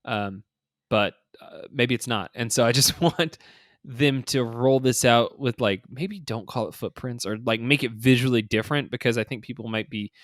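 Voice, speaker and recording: A man hosting a casual gaming podcast, thinking out loud in an informal, slangy, speculative way. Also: mid-range voice, moderate pace, dry recording, studio quality. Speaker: podcast host. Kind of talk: casual two-person podcast discussion of a mobile game.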